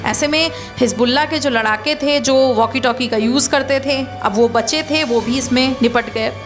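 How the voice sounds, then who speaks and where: A woman's voice: high-pitched, normal speed. A woman presenting a news explainer as scripted narration. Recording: music behind the voice.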